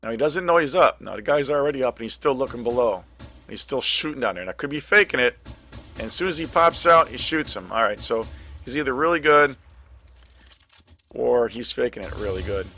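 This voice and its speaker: A man's voice: medium pitch, lively intonation, normal speed, sharp tone, soft background music. Scratchy-voiced man narrating a game replay. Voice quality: scratchy, gravelly voice. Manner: hesitant, lots of ums and restarts, thinking aloud. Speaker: gaming commentator. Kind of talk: casual game commentary over replay.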